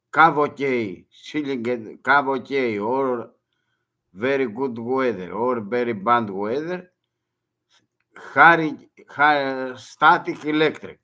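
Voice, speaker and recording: Slow-talking older Greek man, broken English. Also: mid-range voice, pushed and bright. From Greece. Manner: halting broken English, repeats words. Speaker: retired merchant mariner. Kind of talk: monologue.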